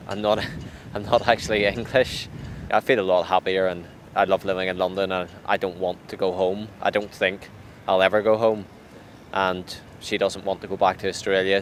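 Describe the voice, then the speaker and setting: A young man speaks in a lowish, fairly flat voice, thinking aloud and often restarting his sentences. He is recorded outdoors, with city traffic and boats clearly audible behind him. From Northern Ireland. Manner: thoughtful, halting, with frequent restarts. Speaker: interviewee. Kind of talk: reflective personal account.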